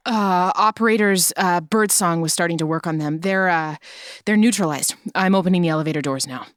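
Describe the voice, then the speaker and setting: An actress playing a tough, determined action heroine in an audio drama, with a gritty, blunt and defiant manner. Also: female voice, fairly low pitch, brisk, dry recording, clean studio sound, close to an everyday voice. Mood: winded, matter-of-fact.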